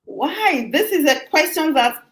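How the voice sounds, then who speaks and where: Middle-aged woman, interview guest. Animated, laughs often, loose conversational delivery. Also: high-pitched, a little slow. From Nigeria. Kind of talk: casual interview talk.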